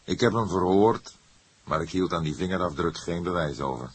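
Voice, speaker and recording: A man voicing a weary, somber older police detective in an audiobook, speaking in a languid, measured manner. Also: low-pitched, animated, a little hiss or hum. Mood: explaining.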